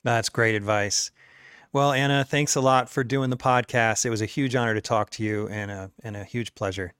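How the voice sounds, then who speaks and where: A man's voice: medium pitch, steady tone, clean tone, dry recording, clean studio sound. New York-born male podcast host. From New York, USA. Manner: warm, appreciative, measured with hesitant restarts. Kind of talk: casual interview and show outro.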